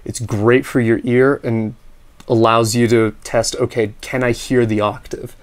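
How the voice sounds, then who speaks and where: A man with a slightly raspy voice, teaching ear training: he explains in a relaxed, practical way and sings solfege scales and intervals. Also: mid-range voice, a little slow. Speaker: music teacher. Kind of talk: instructional talk with sung solfege drills.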